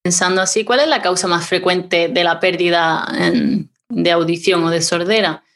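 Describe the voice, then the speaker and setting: A woman interviewing a guest, asking curious questions and agreeing quickly as he talks. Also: lowish voice, steady tone, fairly bright tone.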